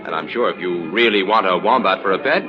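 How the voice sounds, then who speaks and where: An actor playing a learned, teasing detective hero with a schoolteacherly manner in an old-time radio drama. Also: male voice, low-pitched, quick pace, natural voice. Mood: wry, teasing. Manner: schoolteacherly, wry. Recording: muffled vintage radio recording, music behind.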